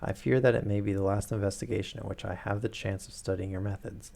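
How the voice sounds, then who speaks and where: An earnest, sincere doctor companion speaks plainly, as a man reading a novel gives him voice. The voice sits low with a gravelly edge. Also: steady tone, fast, mellow. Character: earnest male doctor companion, newly engaged.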